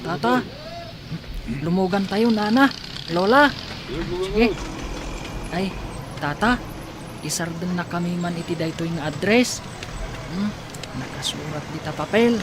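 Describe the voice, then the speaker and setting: A woman playing a polite, helpful orphan child in an audio drama, respectful and gentle with elders. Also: fairly low pitch, measured pace, long pauses, lightly acted. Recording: loud traffic and vehicle noise.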